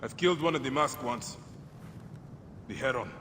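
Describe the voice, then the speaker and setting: An actor playing a weary, driven avenger in a game cutscene, stubborn and intense with a streak of affection. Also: male voice, medium pitch, normal speed, lightly acted. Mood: reserved. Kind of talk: video game cutscene acting. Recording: long reverb, clearly noisy background.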